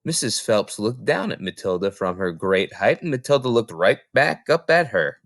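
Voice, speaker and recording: A man reading a children's novel aloud as an expressive narrator. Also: fairly low pitch, moderate pace, no room sound, studio quality. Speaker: reader.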